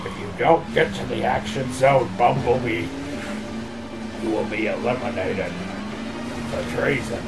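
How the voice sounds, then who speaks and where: Man doing mock-heroic robot commander, pompous, grave game orders, medium pitch, loud music. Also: steady tone, measured pace, pauses often, natural voice, poor audio. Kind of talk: parody of game mission briefing.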